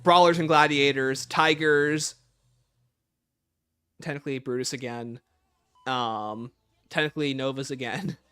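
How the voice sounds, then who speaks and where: A man's voice: medium pitch, fairly even intonation, measured pace, long pauses, a bit bright, clean tone. A man hosting a casual livestream, chatting informally and playfully as he answers viewer questions. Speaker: livestream host.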